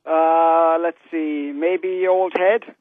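A man's voice: fairly high pitch, flat delivery, drawn-out words, no echo. A man with an Irish accent, an interview guest explaining things conversationally over a muffled telephone line. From Ireland. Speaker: interview guest, golf fitness entrepreneur. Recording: dull telephone line on radio.

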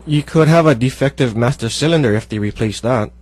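A man with a Hawaii accent co-hosting a car radio show, giving calm matter-of-fact advice. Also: mid-range voice, fairly quick. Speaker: radio co-host.

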